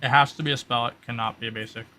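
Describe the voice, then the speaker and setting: A man's voice: mid-range voice, fairly even intonation, rapid-fire, sharp tone. A man acting as game master, explaining tabletop rules in a casual, loosely hedged way.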